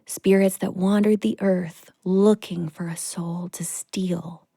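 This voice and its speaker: A woman playing a wry, affectionate girlfriend in an audio drama, natural and expressive. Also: lowish voice, takes it slowly, vocal fry, dry recording, clean studio sound, heavily acted. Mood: grave, reflective.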